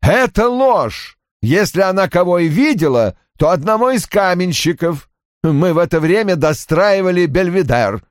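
A man's voice: high-pitched, animated, normal speed, dry recording, clean studio sound, pitched above the performer's own voice. A man voicing a bitter, brusque older father in an audiobook, irritable and defensive, with cold, emphatic delivery. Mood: indignant. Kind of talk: audiobook character acting.

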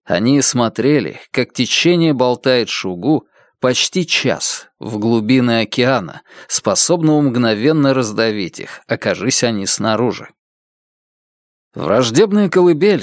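A man reading an audiobook in an even, clear storytelling voice on a close mic. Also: medium pitch, no room sound. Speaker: narrator. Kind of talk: audiobook narration.

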